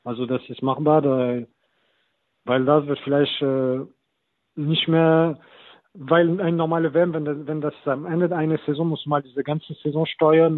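A man in his thirties, a non-native German speaker, talking as a football coach guest with halting, hesitant phrasing. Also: a little high, no room sound. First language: not German. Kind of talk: casual interview talk. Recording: tinny phone-like line, very dry.

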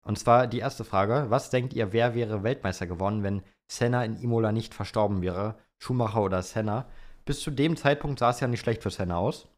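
A man's voice: lowish voice, brisk. A young man co-hosting a podcast, casually answering listener questions in an easygoing, colloquial way. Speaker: podcast co-host.

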